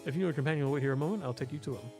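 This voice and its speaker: A man's voice: mid-range voice, rapid-fire, music in the background, lightly acted. A man voicing a dutiful, formal palace soldier and herald in a fantasy game, deferential and proclaiming. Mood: brisk, polite.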